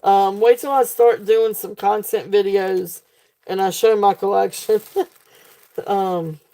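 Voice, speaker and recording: Slow-talking, gravelly-voiced auction woman. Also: medium pitch, fairly even intonation, mellow. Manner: chatty, fillers, reads comments aloud. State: losing her voice. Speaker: live sale host. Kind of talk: live auction selling chat.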